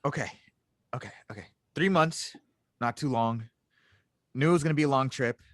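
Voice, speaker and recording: A man playing an anxious, sardonic young genius in an audio drama table read, with halting phrasing. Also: mid-range voice, dry recording, clean studio sound, natural voice. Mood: panicked. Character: anxious young male genius engineer, self-doubting and sardonic.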